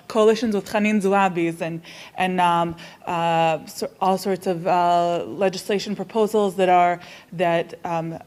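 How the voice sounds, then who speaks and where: Lower-voiced woman feeling out a question. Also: darkish tone. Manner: tentative, searching for words. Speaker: audience member asking a question. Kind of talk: spontaneous, informal question at a panel event.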